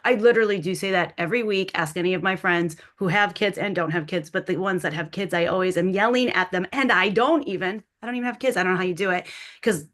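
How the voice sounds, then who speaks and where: A woman's voice: fairly low pitch, fast talker, fairly bright tone. A woman talking informally as a podcast panel guest, in an effusive, rambling way. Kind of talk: informal unscripted panel talk.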